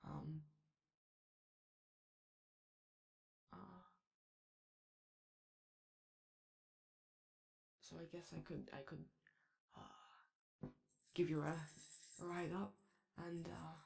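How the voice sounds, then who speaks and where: A woman's voice: low voice, little pitch movement, a little slow, vocal fry, darkish tone, exaggerated voice. A woman voicing an anxious, kind-hearted daycare robot in a hesitant, rambling, apologetic ASMR roleplay. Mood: shy, flustered. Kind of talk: ASMR character roleplay, soft conversational.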